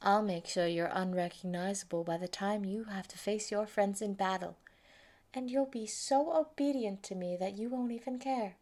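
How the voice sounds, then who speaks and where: A woman's voice: mid-range voice, normal speed, darkish tone, close to an everyday voice. A woman voicing a sadistic, gleeful female mad scientist who taunts and gloats over a captive in a theatrical roleplay monologue. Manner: taunting, gloating, giggly, swinging into whispers. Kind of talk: theatrical villain monologue, fan roleplay audio.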